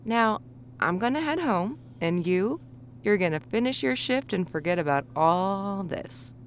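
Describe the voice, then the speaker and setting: A woman's voice: medium pitch, animated, measured pace, slight background noise. An actress playing a veteran woman police officer who coldly bullies a young coworker. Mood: menacing. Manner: smug, threatening, controlled.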